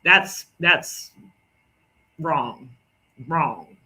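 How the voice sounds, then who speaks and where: A man's voice: fairly high pitch, lively intonation, very slow, sharp tone, breathy edge, low-quality recording. A man from the Southern United States with a Southern drawl, rambling indignantly through casual commentary on clips and repeating himself. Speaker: commentary creator.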